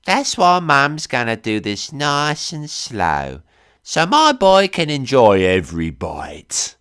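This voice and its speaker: A man voicing a doting, murderous mother figure in a raspy, girlish falsetto, crooning sweetly with menace in an audiobook story. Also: wildly swooping pitch, takes it slowly, a bit bright, exaggerated voice. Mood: sweetly menacing. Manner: crooning, sweetly menacing, working-class endearments. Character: crazed killer impersonating his doting, cannibal old mum.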